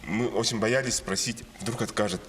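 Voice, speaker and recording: Male director, lower voice, halting interviewee. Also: steady tone, fairly bright tone, slight background noise. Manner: halting, self-deprecating with laughs. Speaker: film director.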